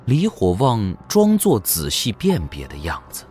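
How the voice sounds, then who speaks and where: A man's voice: fairly low pitch, wildly swooping pitch, unhurried, a little mellow, soft background music. A man narrating an audio drama in a scripted, dramatic storytelling manner.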